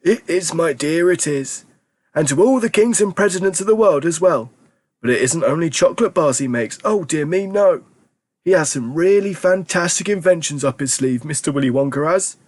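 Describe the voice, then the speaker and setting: A man voicing an eager, excitable grandfather in his nineties, exclaiming with theatrical emphasis. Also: a little high, moderate pace, dry recording, voice pushed up. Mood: excited. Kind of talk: audiobook character reading.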